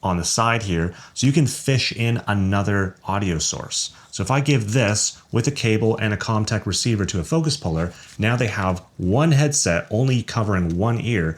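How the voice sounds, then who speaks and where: A man's voice: on the low side, lively intonation, moderate pace, hardly any pauses. A man who works as a sound recordist, narrating a scripted product review.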